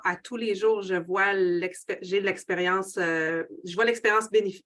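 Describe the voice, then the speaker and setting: Halting woman. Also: lowish voice, fairly bright tone. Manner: halting, repeats words. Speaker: occupational therapist. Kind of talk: panel answer.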